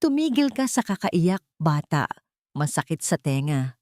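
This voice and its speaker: A woman voicing a calm, murderous villainess who mocks and threatens her captives in an audio drama story. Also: sits low, unhurried, dry recording. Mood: cold, commanding. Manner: calm, menacing, mocking.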